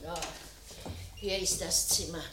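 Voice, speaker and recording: A woman's voice: lowish voice, fairly even intonation, slow. An actress playing a matter-of-fact, chatty, slightly put-out landlady in a radio crime drama. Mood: flustered, matter-of-fact. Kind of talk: German radio play acting. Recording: roomy sound with faint noise.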